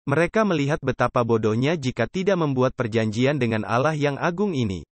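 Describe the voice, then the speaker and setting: A man's voice: medium pitch, animated, a little fast, dry recording. A man preaching a dubbed sermon earnestly, with emphatic rhetorical questions put to his listeners.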